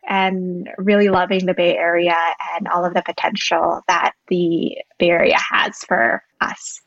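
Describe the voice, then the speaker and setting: A woman's voice: fairly low pitch, level tone, unhurried, gravelly, a bit bright. A young woman from Indonesia, a podcast guest, talking at length in an earnest, thoughtful way. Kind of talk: podcast interview. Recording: dull lo-fi dry recording.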